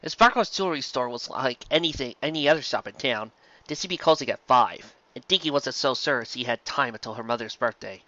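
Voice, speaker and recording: Low-voiced woman reading a story aloud. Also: lively intonation, fairly quick, fairly bright tone, no echo. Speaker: narrator. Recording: dull, slightly muffled dry recording.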